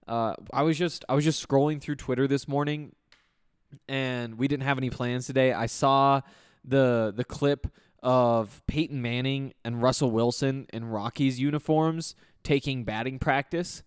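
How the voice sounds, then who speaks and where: A young man, slightly hoarse after losing his voice, hosting a casual solo sports podcast and rambling with lots of fillers. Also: medium pitch, fairly even intonation, a little slow, dry recording, clean studio sound. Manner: rambling, full of fillers and restarts.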